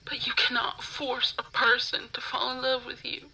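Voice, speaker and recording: A woman playing a frightened but defiant captive rival in an ASMR roleplay, halting and stammering as she pleads. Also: a little high, completely flat delivery, gravelly, bright, forceful tone, slightly rough, voice pushed up, full character voice, poor audio. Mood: pleading, defensive.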